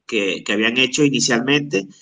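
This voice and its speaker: A man's voice: medium pitch, moderate pace, sharp tone, recorded from a distance. A man hosting a podcast, talking casually and hesitantly with many fillers and restarts. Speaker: podcast host.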